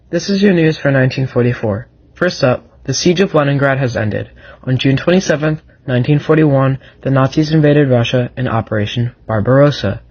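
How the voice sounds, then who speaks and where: A man with a mid-pitched voice reads scripted radio news. The recording is dull and lo-fi. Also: darkish tone, breathy edge. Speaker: newsreader. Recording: dull lo-fi sound.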